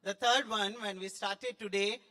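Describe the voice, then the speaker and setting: A man's voice: sits high, steady tone, moderate pace, bright, forceful tone. A man giving an effusive, emphatic impromptu speech as a judge at a school play event. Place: large school hall. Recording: echoing hall with long reverb.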